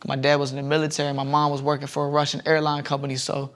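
A young man chats casually on a studio lounge set, his voice a little high and gravelly. He rambles through his stories, restarting his sentences, and stays flat in tone. He is picked up by a lapel mic. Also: very fast. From Southern United States. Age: young adult. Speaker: podcast guest. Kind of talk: casual interview chat.

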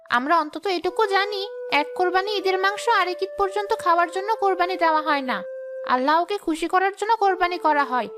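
A woman playing a poor but proud, outspoken village housemaid with a plaintive, indignant manner in a cartoon. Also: very high-pitched. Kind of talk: cartoon dialogue. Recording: music behind the voice.

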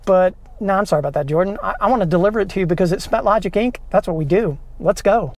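Gravelly casual American man vlogging. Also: high voice, quick pace, darkish tone, no echo. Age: middle-aged. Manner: informal, slangy, jokey. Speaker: vlog host and field herper. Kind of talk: casual vlog chat.

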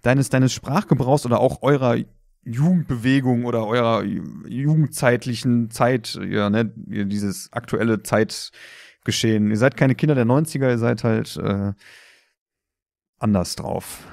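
A man's voice: mid-range voice, a little slow, creaky, darkish tone, no room sound, studio quality. A man in his thirties co-hosting a podcast in casual, rambling, somewhat hesitant chat. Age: 30s. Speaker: podcast host.

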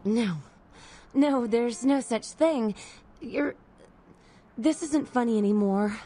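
An actress playing a devoted, naive young college student in a video game, speaking in a hesitant, pleading way. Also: female voice, a little high, takes it slowly, mellow, slight background noise. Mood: nervous disbelief. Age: young adult.

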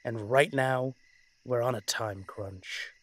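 A man playing a commanding, weary dragon-shifter mafia boss in a dramatic roleplay audio, speaking in a measured, authoritative way. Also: medium pitch, fairly bright tone, slight background noise. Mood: grave, commanding.

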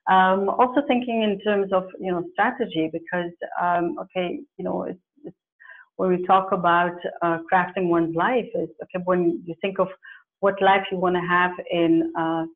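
A woman's voice: on the low side, steady tone, normal speed. A woman in her forties whose first language is Dutch, a career coach answering questions thoughtfully on a video call from her home office, with many fillers and restarts. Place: home office with plants and pictures. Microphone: earphone mic on a video call. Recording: phone-like narrow sound.

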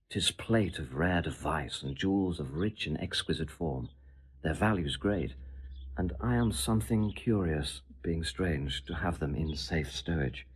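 Husky, scheming Roman seducer. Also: male voice, sits low, heavily acted. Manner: theatrical, declamatory, elaborate courtly phrasing. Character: smooth, scheming Roman seducer, flattering and insinuating. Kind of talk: classical Shakespearean audio drama acting.

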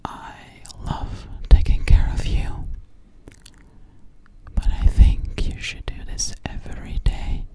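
A woman whispering breathily and soothingly, close to the microphone, repeating words in an ASMR personal attention roleplay as a sweet, slightly sassy alien girl. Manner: slow, repetitive, soothing, close to the mic. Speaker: ASMR creator playing a character. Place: small dim room, indoors. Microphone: microphone right at the mouth.

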